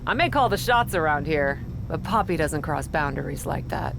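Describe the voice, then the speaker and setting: A woman's voice: deep voice, animated, moderate pace, gravelly, background noise. A woman playing a teasing, bossy, self-assured wrestler in a scripted video game cutscene. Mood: teasing, confident.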